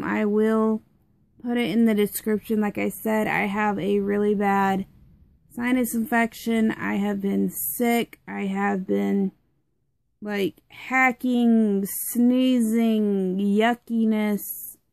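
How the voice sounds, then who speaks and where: A young woman with a stuffed-up, congested voice talks through a product review at home, rambling and chatty with plenty of fillers. Her voice sits at a medium pitch, and the sound is dry with no room echo. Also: little pitch movement, takes it slowly, creaky, darkish tone.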